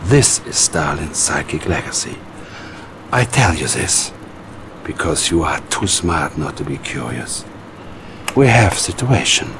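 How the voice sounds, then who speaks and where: An actor playing a sinister, cunning Soviet psychic mastermind in a game cutscene, silky and menacing, with a Russian accent. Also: male voice, rumbling low, sing-song, measured pace, background noise, heavily acted. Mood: commanding, scheming. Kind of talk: theatrical game cutscene acting.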